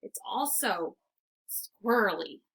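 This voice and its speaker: A woman reading a children's book aloud as an expressive storyteller. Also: mid-range voice, slow pace, rough recording.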